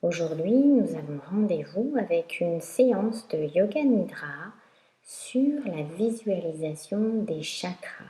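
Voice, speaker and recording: A woman teaching yoga nidra, guiding a meditation in a calm, instructional way with long pauses. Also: lowish voice. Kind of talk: guided meditation narration. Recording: roomy echo.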